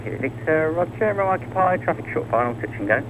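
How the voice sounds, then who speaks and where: A man working as an air traffic controller, giving clipped clearances over aviation radio. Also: on the high side, very animated, fast, phone-quality sound. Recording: noisy airband radio transmission.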